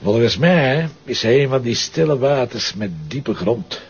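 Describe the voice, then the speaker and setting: An actor playing a confident, brooding Flemish police investigator in a crime drama, speaking deliberately. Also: male voice, medium pitch, lively intonation. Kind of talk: broadcast crime drama acting. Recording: dull lo-fi sound.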